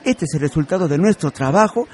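A man's voice: a little high, expressive, fast, a little mellow, lightly acted. An actor with a Peruvian accent playing a contented, hardworking middle-aged father who runs a family shop in a radio soap. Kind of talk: educational radio soap acting.

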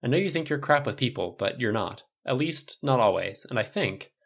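A dry, gently teasing military buddy, voiced by a man. His pitch moves around in a lively way, and the whole take sounds slightly muffled. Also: moderate pace, gravelly.

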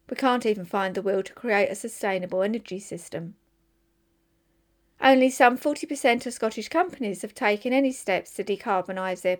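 A woman volunteer reader reading a newspaper opinion column aloud for listeners. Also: fairly high pitch.

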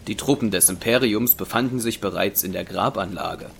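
A man's voice: on the low side, moderate pace, gravelly, a bit bright, slight background noise. A man narrating a German audio drama in a scripted, dramatic storytelling style.

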